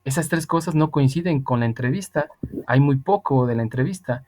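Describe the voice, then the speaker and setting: A man's voice: medium pitch, fairly quick, a little mellow. A man, an online English teacher explaining things patiently and emphatically, with plenty of repetition. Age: 30s. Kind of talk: explanatory tutoring, casual spoken instruction.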